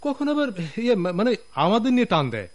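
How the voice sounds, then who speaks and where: An actor playing a flattering, conniving office underling in a radio drama, speaking in an insinuating, goading way. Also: male voice, high-pitched, fairly quick. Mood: goading, indignant.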